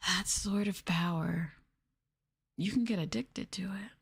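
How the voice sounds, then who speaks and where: A woman's voice: mid-range voice, measured pace, gravelly, slightly rough. A woman livestreaming an ASMR roleplay, talking down to her viewers in a teasing, sultry, condescending way. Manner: teasing, condescending, mock-sadistic dominance.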